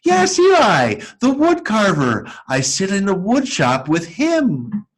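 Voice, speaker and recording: A man's voice: high voice, huge pitch swings, takes it slowly, breathy edge, pitched above the performer's own voice, exaggerated voice. A man voicing a carefree young female wooden puppet person in a read-aloud storybook, light, breezy and encouraging.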